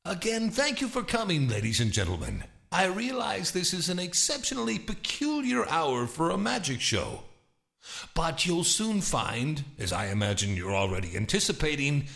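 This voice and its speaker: A man acts as a sinister stage magician with a fairly high, creaky voice. He speaks in swooping, grand phrases, courteous and formal, like a showman in an audio thriller. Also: wildly swooping pitch, measured pace, a bit bright, heavily acted. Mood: grand welcome. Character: theatrical, menacing stage magician, master of shadows. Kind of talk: dramatized audiobook acting.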